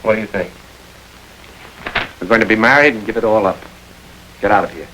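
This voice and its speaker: An actor playing a calm, self-satisfied male gang boss in a 1930s crime film. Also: on the low side, brisk, slight background noise, low-quality recording. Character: smooth-talking male gang boss planning a heist.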